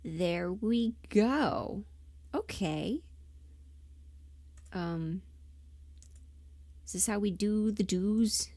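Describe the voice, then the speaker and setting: A woman's voice: medium pitch, very slow, pauses often, mellow, dry recording. A woman chatting casually on a livestream, informal and rambling, muttering asides to herself as she thinks aloud. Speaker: livestream host and amateur voice performer.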